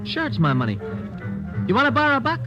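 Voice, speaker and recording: A man's voice: very high voice, wildly swooping pitch, fast talker, full character voice. An actor playing a cocky sixteen-year-old gang boy in a 1950s radio drama, sneering and slangy. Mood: taunting. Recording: dull old radio recording, music behind.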